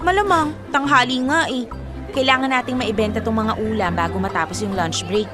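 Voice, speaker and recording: A woman acting as a young Filipino college girl, loyal and quick to defend herself, in a high voice. It is conversational radio drama with clear background music. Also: lightly acted. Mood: breezy, defensive. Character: loyal, defensive female college student selling food for friend.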